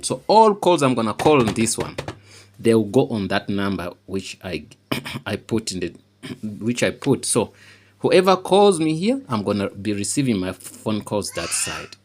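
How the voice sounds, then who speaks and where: A man giving an informal, unscripted how-to demonstration, halting and repeating himself as he explains each step. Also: medium pitch. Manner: halting and repetitive, often checking understanding. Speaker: tutorial presenter.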